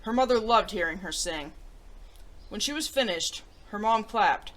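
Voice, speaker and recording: Woman, children's storybook host, expressive read-aloud delivery, animated manner, medium pitch. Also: normal speed, poor audio.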